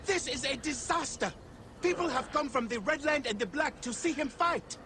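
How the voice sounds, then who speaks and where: An actor playing a grandiose, excitable festival organizer in an ancient Egypt video game. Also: male voice, very high voice, normal speed, noisy background. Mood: flustered, effusive. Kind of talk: dramatic video game acting.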